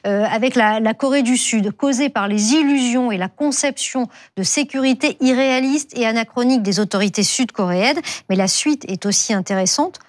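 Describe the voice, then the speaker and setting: A woman hosting a TV news panel, speaking with many hesitations. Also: fairly high pitch. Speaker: TV news host.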